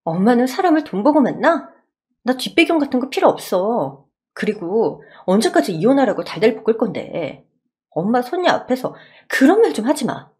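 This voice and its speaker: A woman's voice: a little high, sing-song, normal speed, darkish tone, voice pushed up. A woman voicing an indignant, exasperated wife who stands up for her husband in a story drama. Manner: pleading, indignant.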